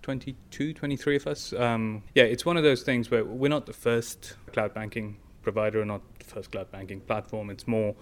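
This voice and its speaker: A man's voice: on the low side, fairly even intonation, moderate pace, hardly any pauses. A man talking informally as an interview guest, explaining things with everyday examples. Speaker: guest, tech company founder.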